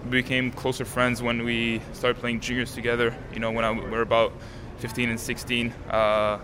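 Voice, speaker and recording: An eighteen-year-old man from Canada, a native French speaker, answering interview questions outdoors in a calm, composed way with many fillers. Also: medium pitch, moderate pace. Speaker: tennis player, interviewee. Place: outdoors at a tennis stadium. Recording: crowd noise behind.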